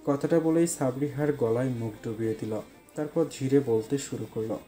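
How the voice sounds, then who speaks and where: A man narrates a scripted love story. His voice sits at a medium pitch with a dark, relaxed tone. Faint background music runs under the storytelling. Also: normal speed.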